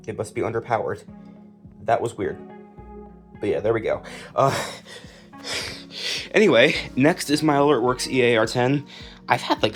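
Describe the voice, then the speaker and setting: A young American man giving a casual, informal, matter-of-fact walk-through of a personal collection. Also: fairly low pitch. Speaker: collector presenting own collection. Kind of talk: casual collection showcase narration.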